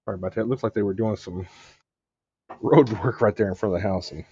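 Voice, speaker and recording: A man streams a game with casual commentary in a deep, mellow, husky voice. He explains things haltingly and without hurry. The sound is dull and close.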